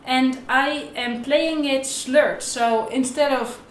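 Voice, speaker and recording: A woman's voice: high-pitched, fairly even intonation, slow pace, distant mic. A young woman giving an instructional tutorial to camera as a music teacher, recorded indoors in a bare room. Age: young adult. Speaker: violin teacher. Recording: roomy, echoey indoor sound.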